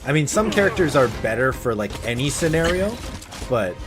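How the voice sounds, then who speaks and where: A man's voice: medium pitch, big pitch swings, a little fast. A man livestreaming a game, chatting casually in a laid-back, conversational way as he thinks aloud. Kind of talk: casual chat over gameplay. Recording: voice over loud game music. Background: loud game music.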